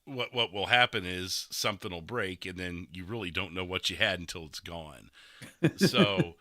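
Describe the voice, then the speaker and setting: A man's voice: deep voice, normal speed, bright, forceful tone, no echo, clean studio sound. A man chatting casually as a streamer, rambling a bit, with a stuffy nose that has him breathing through his mouth. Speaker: gaming streamer hosting a podcast. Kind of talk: casual chat.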